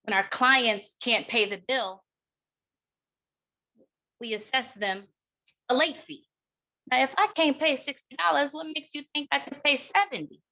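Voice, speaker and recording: A woman delivering a keynote speech in a deliberate, emphatic manner, breaking phrases with dramatic pauses. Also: high voice, pushed and bright, rough recording. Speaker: keynote speaker, counseling clinician.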